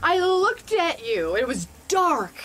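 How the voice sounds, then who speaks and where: A halting, scared high-voiced wife. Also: female voice, very animated, a bit bright, full character voice. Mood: shaken. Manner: halting, stammering, repeating herself. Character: frightened wife unsettled by a late-night thought. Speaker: wife Franny. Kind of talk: audio drama acting.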